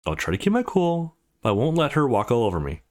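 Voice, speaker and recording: A man's voice: medium pitch, huge pitch swings, quick pace, darkish tone. A man voicing a laid-back but defiant teenage schoolboy with a casual, slangy manner in a visual novel. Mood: cocky.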